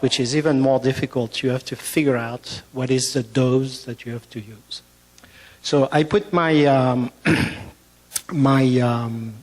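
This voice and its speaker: A man with a French accent delivers a conference talk, pausing often and restarting his sentences. His voice sits in an ordinary middle pitch. The sound carries a long reverb from a large hall. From France. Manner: hesitant, many fillers and restarts. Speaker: physician giving a conference talk. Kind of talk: conference presentation.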